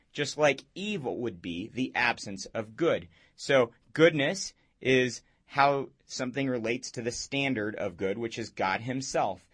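Man, hoarse and raspy, husky tone, earnest radio host, explanatory talk. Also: mid-range voice, fairly bright tone. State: hoarse from a cold.

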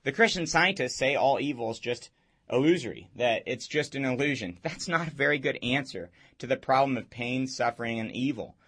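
Man, hoarse and raspy, husky tone, earnest radio host, explanatory talk. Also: medium pitch, lively intonation, fairly quick, fairly bright tone. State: hoarse from a cold.

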